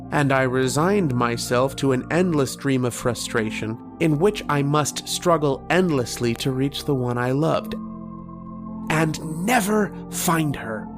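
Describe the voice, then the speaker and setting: Actor as haunted male narrator, radio drama, solemn, measured, literary, gravelly creak, mid pitch. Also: lightly acted. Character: haunted grown man recalling his obsessive dream.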